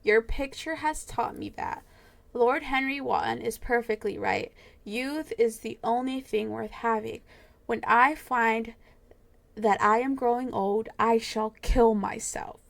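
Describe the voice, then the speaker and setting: A woman's voice: a little high, unhurried, creaky. A woman voicing a vain young man who despairs over losing his youth, pleading and crying out. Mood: bitter. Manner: emotional, pleading, rising to cries.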